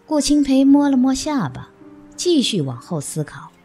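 Mellow woman narrator, lively intonation. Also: sits high, moderate pace, soft background music. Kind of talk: scripted audio drama narration.

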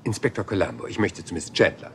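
A man's voice: low voice, fast talker, very noisy, lightly acted. A man playing a rumpled, polite police inspector. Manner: polite, meandering, deferential. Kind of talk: dubbed TV crime drama.

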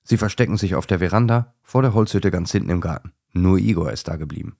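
Male narrator for a children's bedtime tale; low pitch, husky grain, dry close sound. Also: fast talker, darkish tone, no room sound. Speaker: narrator of a children's audio story. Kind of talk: scripted bedtime story narration.

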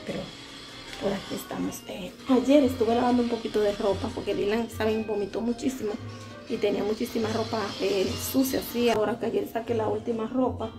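Woman in her 30s vlogging from home on her phone, kinda high voice, sore dry throat, music going in the back. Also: flat delivery, brisk. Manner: chatty and candid, talks while doing housework. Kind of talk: casual chat to camera. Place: small home, indoors. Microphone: handheld phone camera at arm's length. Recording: music in the background.

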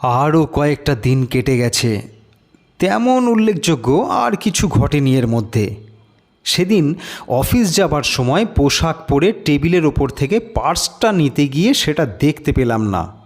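A man narrating a scripted horror story in a dramatic, measured, suspenseful way. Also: fairly high pitch, very animated.

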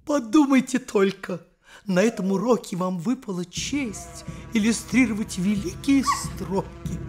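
A woman's voice: mid-range voice, animated, measured pace, a little mellow, soft background music, natural voice. An actress playing a stout schoolteacher who speaks in a formal, declamatory way to her class. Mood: solemn. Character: stout schoolteacher Marya Ivanovna, solemn and proper. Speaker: teacher.

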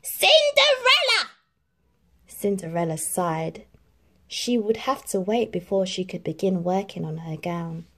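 A woman reading a children's story aloud in an expressive, deliberate narrating style. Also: on the low side. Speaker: storybook reader.

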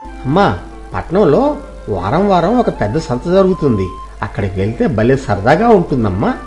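A man playing a respectful grown son, a city doctor, in a moral story, talking softly and politely as he explains. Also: mid-range voice, huge pitch swings, normal speed, faint music behind. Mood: pleasant, coaxing. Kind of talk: dramatized moral story acting.

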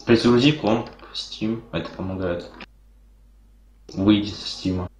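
A man's voice: fairly low pitch, measured pace, darkish tone. A young man talking casually with teammates over gaming voice chat in an echoey room. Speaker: team player in online shooter match.

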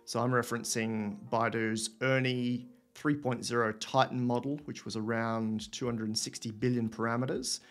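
A man's voice: medium pitch, level tone, normal speed, few pauses, faint music behind. A man in his thirties hosting a livestream Q&A from a room at home, talking casually into a webcam, thinking aloud and reading out viewer questions. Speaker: host answering viewer questions.

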